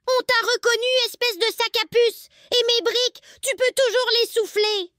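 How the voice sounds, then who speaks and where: A man voicing a cheeky little pig in a children's cartoon tale, squeaky and taunting. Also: fairly even intonation, moderate pace, pushed and bright, dry recording, clean studio sound. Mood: mocking.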